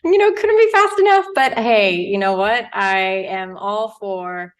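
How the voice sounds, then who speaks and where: A woman's voice: mid-range voice, expressive, measured pace. A woman from Australia, a guest dietitian in casual interview chat, bubbly and chatty with frequent laughs.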